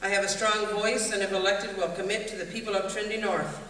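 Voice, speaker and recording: Bright-voiced woman in an echoey hall. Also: medium pitch, fairly even intonation, a little hiss or hum. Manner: reads in a formal, measured way. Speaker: election candidate. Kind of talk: prepared debate statement. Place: large events hall. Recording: echoey hall, voice far from mic.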